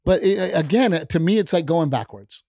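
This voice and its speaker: A middle-aged man with a gravelly, slightly raised voice, talking hot and blunt as a comedian guest on a podcast. He swears freely and presses his point hard. The sound is close and dry, with no room around it. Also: fairly high pitch, expressive, moderate pace, a little mellow, no echo. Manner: blunt, heated, profane. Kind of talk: casual chat. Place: podcast studio.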